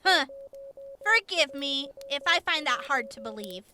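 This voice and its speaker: An actress playing a tough, sassy but kind-hearted wasteland medic in a post-apocalyptic audio drama, salty and teasing. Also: female voice, high voice, animated, unhurried, background noise. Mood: curious, skeptical.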